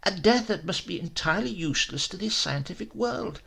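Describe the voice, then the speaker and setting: A man's voice: high voice, lively intonation, a little fast, creaky, bright, forceful tone, breathy edge, voice pushed up, heavily acted. A man voicing a callous, obsessed Italian professor in a horror story, pompous and theatrical. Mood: anguished, grandiose.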